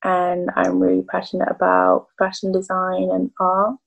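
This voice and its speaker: An eighteen-year-old woman speaking in a measured, hesitant way as a guest on a video call panel. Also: on the low side, completely flat delivery, gravelly, highs cut off.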